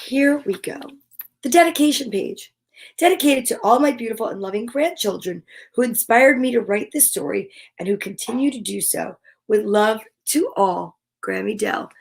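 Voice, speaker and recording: Upbeat woman hosting story time, higher-pitched voice. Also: animated, moderate pace, vocal fry, husky. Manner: upbeat, gushing, encouraging. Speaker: host of a children's story time show. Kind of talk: live read-aloud mixed with chatty asides to viewers.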